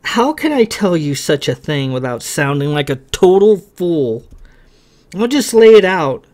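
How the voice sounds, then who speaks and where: A man's voice: fairly high pitch, big pitch swings, a little slow, darkish tone, pitched above the performer's own voice. A man reading a story, voicing a young man who pleads and apologizes in raised, stumbling bursts. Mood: pleading.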